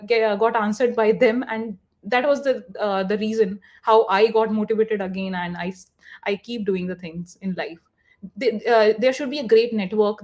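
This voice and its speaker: A woman's voice: medium pitch, darkish tone. A woman guest speaker on a video call, talking earnestly with many fillers and restarts. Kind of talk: online session answer.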